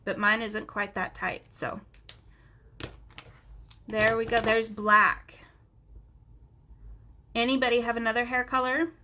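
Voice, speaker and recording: A woman's voice: fairly high pitch, fairly even intonation, slow pace, long pauses, bright, forceful tone, a little hiss or hum. Chatty woman with a stuffy nasal voice. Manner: chatty, talks to viewers by name while coloring. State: stuffy nose, sounds nasal. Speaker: craft instructor on a live stream. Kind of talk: casual live coloring tutorial.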